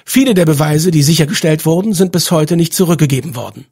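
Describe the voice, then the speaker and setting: Guy narrating a podcast, pretty high husky voice, some music behind him. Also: fast, a little mellow. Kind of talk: scripted true-crime podcast narration.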